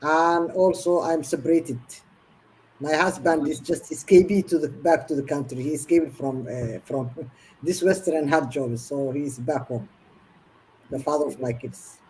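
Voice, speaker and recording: A woman's voice: low voice, measured pace, a little mellow, a little hiss or hum, low-quality recording. A woman in her fifties from Somalia, a guest in an online interview, telling her story earnestly in long run-on sentences. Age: 50s.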